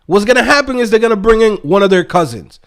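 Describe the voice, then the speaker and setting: A man with a high-pitched voice gives a casual motivational rant to his online viewers. His intonation swings widely as he talks. He is emphatic and streetwise, leaning on slang and checking in with short tag questions. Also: animated, fast, fairly bright tone. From Dominican Republic. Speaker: YouTube host and developer coach.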